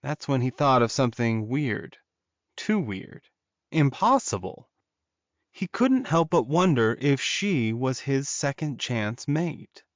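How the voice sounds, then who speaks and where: A man's voice: mid-range voice, sing-song, slow, no echo, muffled recording. A man narrating fiction as a scripted audiobook.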